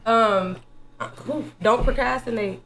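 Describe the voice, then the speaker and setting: A woman's voice: on the high side, slow, fairly bright tone. A young woman from Ohio with an Ohio accent, a journalist guest talking casually and laughing often in slangy speech. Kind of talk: casual interview chat.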